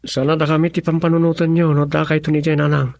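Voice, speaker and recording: A worried young son in an Ilocano radio drama. His voice is on the high side, earnest and sad in temper, with a dry, close sound. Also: little pitch movement, fast, vocal fry, darkish tone, no echo. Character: worried young son with parent going abroad.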